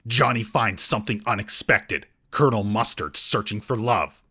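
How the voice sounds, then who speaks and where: A man's voice: lowish voice, normal speed, pushed and bright, breathy edge. A man narrating a scripted comedic movie roast as host, in a sarcastic, mocking manner.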